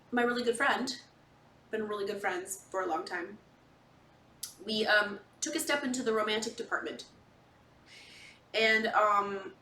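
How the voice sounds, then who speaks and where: Bright-voiced woman in an echoey room. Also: fairly high pitch. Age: 40s. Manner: candid, emphatic, dramatizes her own inner dialogue. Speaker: podcast and video host. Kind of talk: casual confessional monologue. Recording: echoey room, distant microphone.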